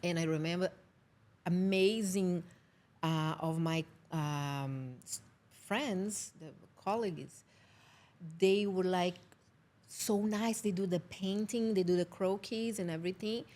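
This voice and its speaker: A Portuguese-speaking woman from Brazil with a Brazilian accent, a film producer talking casually in a podcast interview and pausing to search for English words. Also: lowish voice, darkish tone. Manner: halting, searching for English words, laughs often.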